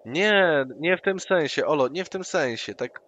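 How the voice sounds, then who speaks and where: A man's voice: fairly high pitch, moderate pace, fairly bright tone. A man playing his character at an online tabletop session, persuasive and scheming. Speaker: player. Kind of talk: in-character roleplay. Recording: dull online call audio with noise.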